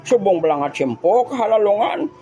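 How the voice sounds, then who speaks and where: A woman's voice: mid-range voice, very animated, fairly quick, mellow, highs cut off, natural voice. A woman in a comedic audio drama playing a chatty, gullible old grandmother who loves gossip. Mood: gossipy, chatty. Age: older.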